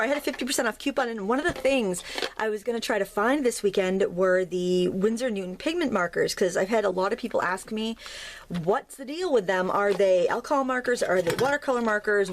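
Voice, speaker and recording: A hoarse woman in her thirties, chatting casually and rambling a little as she shows off craft supplies in her home studio. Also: fairly high pitch, slight background noise. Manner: chatty, rambling, friendly asides. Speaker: craft video host. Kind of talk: casual haul chat.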